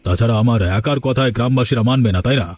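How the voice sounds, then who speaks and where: A man's voice: mid-range voice, very fast, a little mellow, slight background noise, natural voice. A man voicing a spiteful village uncle who schemes in a sly, conspiratorial way, in a cartoon. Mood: spiteful, scheming. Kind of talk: Bengali cartoon dialogue dubbing.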